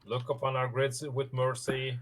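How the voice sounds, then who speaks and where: A man's voice: medium pitch, completely flat delivery, normal speed. A man chatting casually as a guest co-player, joking in a playful, mock-solemn way. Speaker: guest co-player on a game stream. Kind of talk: casual gaming chat.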